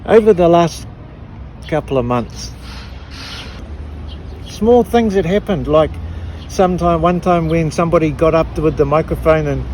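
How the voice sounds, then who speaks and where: A middle-aged woman with a New Zealand accent, giving an emotional personal testimony in an earnest, halting way with frequent restarts. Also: sits low, darkish tone, a little hiss or hum, low-quality recording. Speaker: activist interviewee.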